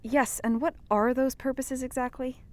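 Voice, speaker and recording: A woman's voice: high-pitched, little pitch movement, normal speed, a little mellow, close to an everyday voice. A woman playing a skeptical, questioning and loyal young woman in a science fiction podcast drama. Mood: curious. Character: skeptical, loyal young woman named Jenna caught up in adventure.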